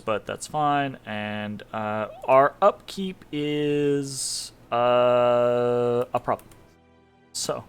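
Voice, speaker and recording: A young man talks through a strategy game in a loose, rambling way, full of fillers and quick laughs. His voice is congested and a bit sniffly from allergies. Faint music plays behind him. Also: medium pitch, smooth. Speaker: game commentator. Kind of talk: casual gameplay commentary.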